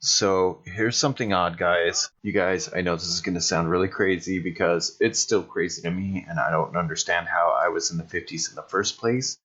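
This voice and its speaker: A deep-voiced American man plays a tabletop game. He talks casually in character. The recording is a little dull and muffled. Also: moderate pace, hardly any pauses, a bit bright, breathy edge. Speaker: player in an actual-play podcast.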